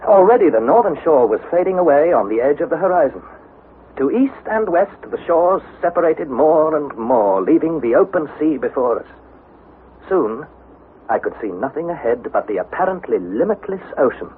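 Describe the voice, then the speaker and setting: Earnest, animated young adventurer. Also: male voice, a little high. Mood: solemn narration. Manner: measured, dramatic storytelling. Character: earnest young nephew narrating his expedition adventure. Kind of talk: 1960s radio drama narration. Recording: narrow-band, slightly noisy vintage radio recording.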